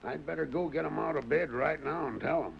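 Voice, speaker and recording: An actor playing a jittery, crooked sheriff in a 1940s Western radio drama, with a creaky, folksy, excitable American delivery. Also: male voice, a little high, brisk. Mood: uneasy, surprised. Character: crooked, jittery old-West sheriff, roused from sleep. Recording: muffled, noisy old radio recording.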